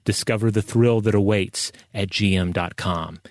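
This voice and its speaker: A man with a fairly low voice hosts a podcast in an easy conversational style. He is close on the mic, and the sound is very dry with no room around it. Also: fairly even intonation, moderate pace, no echo. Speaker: podcast co-host. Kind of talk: casual chat, scripted ad reads and listener mail.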